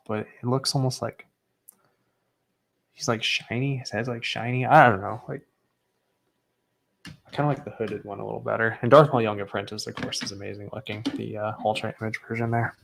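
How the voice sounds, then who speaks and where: A man's voice: fairly quick, creaky. A young man casually commenting as he unboxes graded trading cards, understated and matter-of-fact. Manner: low-key and understated, self-described as not excitable.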